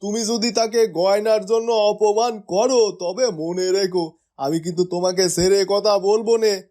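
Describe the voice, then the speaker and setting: A man's voice: sits high, steady tone, measured pace, warm tone. A man voicing a stern, principled middle-aged village headman in a fairytale cartoon. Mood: stern, reproachful.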